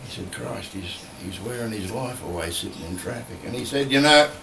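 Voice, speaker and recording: An older man with an Australian accent and a croaky voice left over from flu, chatting bluntly and swearing freely in a small home room into a vocal mic on a stand. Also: on the low side, lively intonation, a little fast, a bit bright, slight background noise. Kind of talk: casual rambling monologue. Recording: roomy, from a distance.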